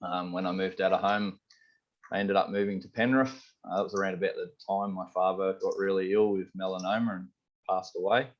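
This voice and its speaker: Deep-voiced Australian chef, rambling. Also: male voice, brisk. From Sydney, Australia. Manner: rambling, relaxed, many fillers like "you know" and "um". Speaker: head chef, guest. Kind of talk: casual interview chat. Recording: slightly dull lo-fi audio.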